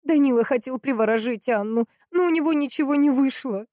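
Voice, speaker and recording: A woman's voice: high voice, mellow, dry recording, clean studio sound, pitched above the performer's own voice, lightly acted. A woman voicing a hot-tempered, grieving sister in a crime audiobook, emphatic and impatient. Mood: indignant. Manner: emphatic, impatient, drawn-out stresses.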